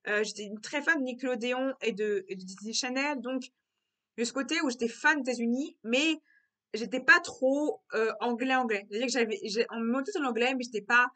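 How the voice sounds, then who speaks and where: A young woman talks through her thoughts in a relaxed vlog monologue. Her voice sits a little high and sounds bright and clear. She keeps restarting and repeating phrases as she thinks aloud. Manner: repetitive, circling back on her words. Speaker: vlogger. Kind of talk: casual reflective monologue.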